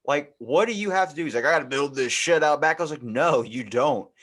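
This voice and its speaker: A man's voice: fairly high pitch, lively intonation, brisk, a bit bright. Young male podcast host. Casual, rambling, slangy talker who swears and voices both sides of an exchange. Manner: slangy, swearing, acts out quoted dialogue.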